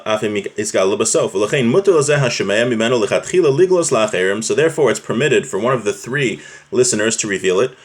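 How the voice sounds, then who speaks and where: A man teaching an informal religious class, reading from a text and explaining it conversationally, often checking in with his listeners. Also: medium pitch.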